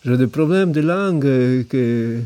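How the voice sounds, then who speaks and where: A middle-aged man with a Polish accent, whose first language is Polish, speaking as a Buddhist monk in a halting, thoughtful way. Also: medium pitch, lively intonation, mellow, no echo. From Poland. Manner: halting, thoughtful, many pauses. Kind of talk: reflective spoken explanation.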